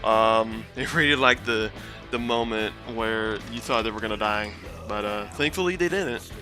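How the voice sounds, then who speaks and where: A man's voice: lowish voice, normal speed, music in the background. A man hosting a casual commentary recap, talking in a rambling, hesitant and self-deprecating way. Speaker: video host and reviewer.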